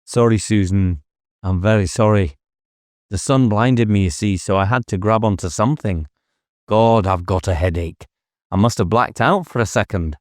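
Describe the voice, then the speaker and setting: A man's voice: on the low side, moderate pace, dry recording, studio quality, close to an everyday voice. A man voicing an intense, driven police officer in an audiobook, with an urgent, insistent manner. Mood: apologetic, dazed.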